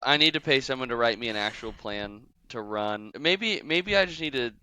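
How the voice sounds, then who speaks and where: A young man with a bright voice and a smooth, clean tone co-hosts a fitness podcast. He talks in a relaxed, frank way and swears casually, at an ordinary middle pitch. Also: moderate pace, no echo. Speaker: podcast co-host. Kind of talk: casual chat.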